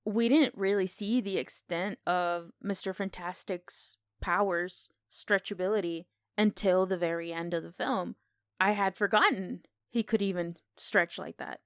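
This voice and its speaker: A woman's voice: on the low side, steady tone, a little slow, clear, resonant tone, no room sound, studio quality. A woman co-hosting a podcast, casually discussing film in a conversational, opinionated way. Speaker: podcast co-host.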